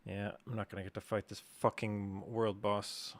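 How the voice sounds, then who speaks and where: Male livestreamer, fairly deep with heavy vocal fry, casual and blunt gaming chat. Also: flat delivery, normal speed. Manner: offhand, crude. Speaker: game livestreamer.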